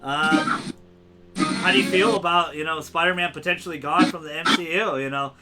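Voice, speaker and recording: A man hosting a casual gaming podcast, excitable and quick to laugh. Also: a little high, loud music behind the voice. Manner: excitable, laughs often, talks fast in bursts. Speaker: podcast host. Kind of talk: casual chat.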